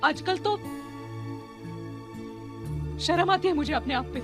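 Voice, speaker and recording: A woman's voice: high voice, slow pace, music in the background. A woman acting a weary, hurt wife and mother in a film drama, speaking plainly and earnestly with reproach. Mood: hurt, reproachful. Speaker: actor in a film.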